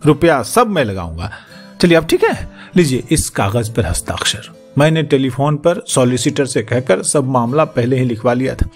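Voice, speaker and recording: A man voicing a pushy, flattering, greedy rich businessman in an audiobook, insistent and wheedling. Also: medium pitch, moderate pace, soft background music. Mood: coaxing, persuasive. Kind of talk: audiobook character acting.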